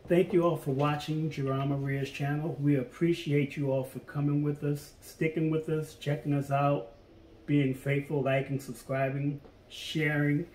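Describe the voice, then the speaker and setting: A man's voice: fairly high pitch, little pitch movement, normal speed, darkish tone, slight background noise. A man chatting casually at home and thanking viewers at the end of a vlog. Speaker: vlogger. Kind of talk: casual chat. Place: dining room at home. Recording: echoey room.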